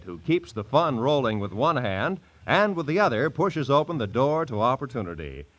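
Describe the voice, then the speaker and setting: A man's voice: mid-range voice, a bit bright. A man with an American accent announcing and promoting programs in a polished 1940s network radio style.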